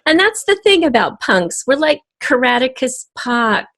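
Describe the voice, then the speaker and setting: A middle-aged or older woman chatting in a lively, informal way as an interview guest. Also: high voice, measured pace. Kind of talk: casual interview chat.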